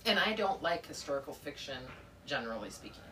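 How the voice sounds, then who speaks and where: A soft-spoken woman with a very low voice co-hosts a relaxed book podcast, sounding thoughtful as she talks. She sits a little back from the mic, with a faint noisy hum behind her. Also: fast. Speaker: podcast co-host. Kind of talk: casual book club chat. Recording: distant mic with faint noise.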